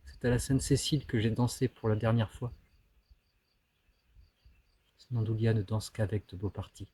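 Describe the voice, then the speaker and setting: A man acting an anguished, bitter and dreamy teenage schoolboy in a declamatory theatrical monologue, swinging between outbursts and hushed musing. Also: on the low side, fairly even intonation, normal speed, husky. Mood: dreamy, melancholy.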